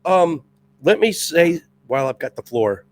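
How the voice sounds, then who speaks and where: A middle-aged man with a worn, raspy voice talks in a casual podcast chat. He comes across intense and restless, his words tumbling out with plenty of restarts. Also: a little high, very animated, a little slow. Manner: intense, amped-up, restless talker. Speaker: podcast guest, retired Marine first sergeant.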